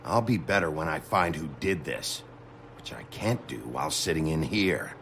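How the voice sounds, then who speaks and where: An actor playing a gruff, weary, sardonic detective with a gravelly voice in a dramatic video game. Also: male voice, rumbling low, sing-song, moderate pace, a bit bright, a little hiss or hum, exaggerated voice. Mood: bitter. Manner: terse, sardonic, swearing.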